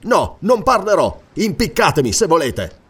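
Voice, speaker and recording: A man's voice: mid-range voice, big pitch swings, slow pace. A man voicing a sly, defiant convict quartermaster in an audiobook, insolent and emphatic in manner. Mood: impetuous, defiant. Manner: insolent, challenging rhetorical questions, emphatic. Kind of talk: dramatic audiobook character reading.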